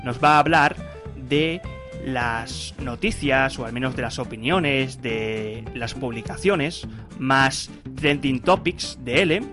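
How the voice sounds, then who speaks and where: Male podcast host, middle pitch, casual and chatty, faint music. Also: takes it slowly. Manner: chatty, with fillers and repeats. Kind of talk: casual interview chat.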